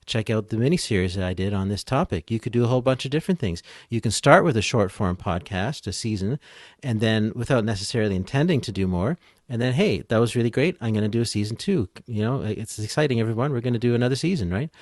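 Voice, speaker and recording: A man's voice: lowish voice, expressive, fast, a little mellow, no echo, clean studio sound. A man speaking as an expert guest in a conversational, explanatory way, offering encouraging advice.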